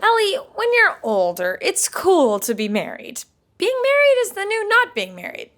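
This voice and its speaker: A woman's voice: extremely high pitch, huge pitch swings, normal speed, fairly bright tone, a faint crowd, a little hiss or hum, exaggerated voice. A woman playing a dramatic, scheming twenty-something in a podcast sitcom, with a theatrical, exaggerated manner. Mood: exasperated. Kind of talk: sitcom acting.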